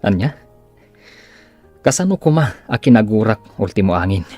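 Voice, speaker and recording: A man's voice: on the low side, very animated, normal speed, darkish tone. An actor with a northern Philippine accent playing a moody, weary male patient with a subdued, dry manner in a radio drama. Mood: weary, querulous. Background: music.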